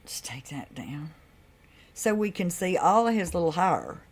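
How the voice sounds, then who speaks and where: Casual female stream host, deep low voice that carries, talks loosely to viewers. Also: very animated, unhurried, husky. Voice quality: deep, carrying voice. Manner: loose and chatty, with laughs and asides to viewers. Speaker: livestream host. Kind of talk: casual live chat.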